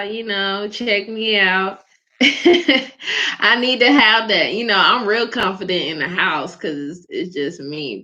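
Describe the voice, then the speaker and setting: Soft-spoken woman with a bright lo-fi voice. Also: mid-range voice. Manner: soft-spoken, chatty, laughs often. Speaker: host of a live faith vlog. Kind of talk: casual spoken reflection. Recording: dull lo-fi sound.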